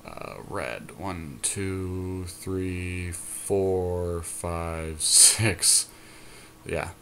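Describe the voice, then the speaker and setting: A man talking casually through a card game, reasoning and counting aloud in a deliberate way. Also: low voice, a bit bright, a little hiss or hum. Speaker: podcast co-host and card game player.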